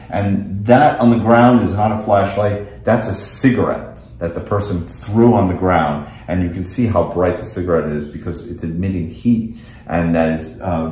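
A man's voice: deep voice, a little mellow. An American man in his forties giving a conversational, matter-of-fact technical product presentation in a small meeting room. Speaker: presenter, camera company specialist. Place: small indoor office or meeting room, beside a screen. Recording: echoey room.

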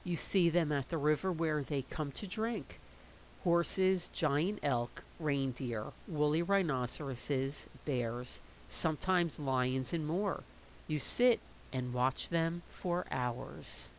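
A middle-aged woman reading a picture book aloud in a slow, expressive storytelling voice. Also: deep voice, slight background noise, poor audio.

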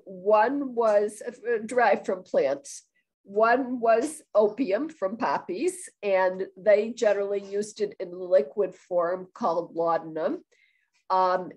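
A woman's voice: medium pitch, a little mellow, rough recording. An older woman with a cold, sounding a little nasal, giving a chatty, rambling talk over a video call from a room at home, with frequent ums and restarts. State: has a cold. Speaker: guest historian. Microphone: video call webcam.

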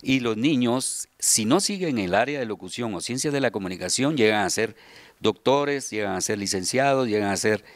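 Low-voiced middle-aged Guatemalan man. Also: lively intonation, normal speed, no echo. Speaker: children's radio theater subdirector and voice announcer. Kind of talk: interview answers, explanatory talk. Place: large hall with seated group, indoors. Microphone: handheld interview microphone.

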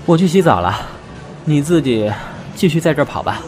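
A man's voice: fairly high pitch, very animated, brisk, over music. A man playing a prickly, curt young academic with a grumbling manner in an audio drama. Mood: annoyed, curt. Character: prickly, curt young academic, secretly fond of his boyfriend.

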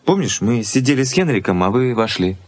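Principled young fiance, medium pitch, deliberate and emphatic, 80s soviet radio play. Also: male voice, sing-song, faint music behind. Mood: suspicious. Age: young adult. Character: principled, possessive young fiancé, earnest and stubborn.

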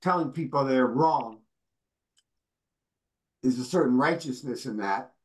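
A man's voice: medium pitch, a little slow. An older man presenting on a video call from his home library, explaining his point in an earnest way.

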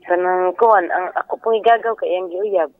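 A man's voice: high voice, fairly even intonation, normal speed, dry recording. A man with a Cebuano Filipino accent calling a radio show by phone, chatting casually and a bit hesitantly. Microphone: telephone. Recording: phone call over music.

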